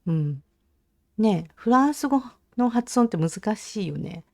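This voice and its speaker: A Japanese woman with a Japanese accent, practising language aloud on a casual livestream in a halting, hesitant way. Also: medium pitch, animated, vocal fry, a little mellow, dry recording, clean studio sound. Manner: hesitant, halting English with self-corrections. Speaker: live streamer learning English. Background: computer keyboard typing and clicking.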